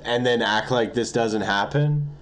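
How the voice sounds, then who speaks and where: A man's voice: mid-range voice, unhurried, crowd noise. A man in his twenties co-hosting a podcast, playful and laid-back in casual chat. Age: 20s. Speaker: podcast co-host.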